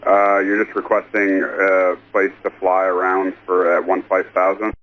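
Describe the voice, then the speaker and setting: A man's voice: low voice, monotone, normal speed. A man working as an air traffic controller, giving procedural instructions and repeating callsigns over a radio transmission. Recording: muffled, lightly noisy air traffic radio.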